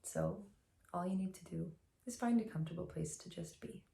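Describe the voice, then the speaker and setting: A woman guiding a meditation as a teacher, speaking in a gentle, soothing, unhurried way with long pauses, in a slightly echoey room. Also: fairly low pitch, gravelly. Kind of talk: guided meditation, soft instructional narration.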